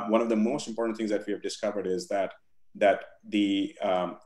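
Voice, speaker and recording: A man's voice: on the low side, a little mellow, reverberant. A man in his forties, from India, speaking as a guest on a video call, thoughtful and full of fillers and restarts. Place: home room with virtual backdrop.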